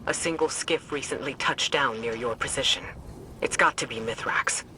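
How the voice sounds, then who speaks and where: An actor playing a composed, urgent military mission commander in a video game, speaking in a crisp, tactical, commanding way. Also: male voice, mid-range voice, moderate pace, sharp tone, a little hiss or hum, low-quality recording. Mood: focused, urgent. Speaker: mission guide.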